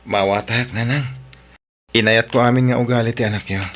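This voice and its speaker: An actor playing a devoted, long-suffering young lover and dutiful son in a radio drama, with an Ilocano accent. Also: male voice, medium pitch, fairly even intonation, brisk, a bit bright, lightly acted. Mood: earnest, gentle.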